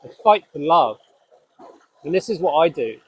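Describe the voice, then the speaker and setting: Scottish-born male host, no accent, earnest and halting, fairly high pitch. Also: very animated, a little hiss or hum. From Scotland. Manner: earnest, halting, with frequent restarts. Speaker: spiritual podcast host. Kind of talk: reflective solo talk.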